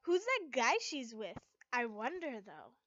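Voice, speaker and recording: Woman as a playful teenage anime girl, excitable, girlish, high bright voice, dry lo-fi recording. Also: a little slow, no room sound. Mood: curious.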